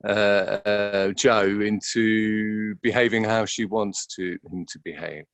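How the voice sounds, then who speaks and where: A man's voice: on the low side, steady tone, slow pace, no echo. A man reflecting in an interview, speaking hesitantly and repeating words as he thinks aloud. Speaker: interviewed actor.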